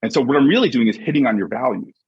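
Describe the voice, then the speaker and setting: A husky-voiced man giving an emphatic, conversational motivational talk as a coach and podcast guest, often checking in with short rhetorical questions. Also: medium pitch, animated, rapid-fire, no room sound. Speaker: podcast guest, sports mindset coach and author. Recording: dull, muffled lo-fi audio.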